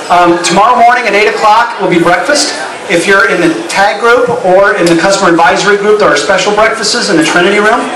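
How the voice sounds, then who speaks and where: A man's voice: sits high, fast talker. A man, a company president, giving a casual, jokey welcome speech over a handheld mic at a crowded indoor party. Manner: upbeat, rambling, jokey with the audience. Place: crowded bar with big screens, indoors. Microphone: handheld mic, heard through loud speakers, filmed from a distance. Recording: echoey room, far from camera, crowd noise.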